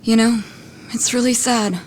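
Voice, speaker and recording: An actress playing a blunt, plain-spoken young bar hostess in an English video game dub. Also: female voice, fairly high pitch, fairly even intonation, measured pace, a little hiss or hum, lightly acted. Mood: sad.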